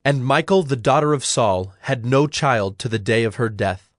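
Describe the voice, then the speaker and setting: A man narrating scripture from a script in a measured, formal way. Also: medium pitch, dry recording, clean studio sound.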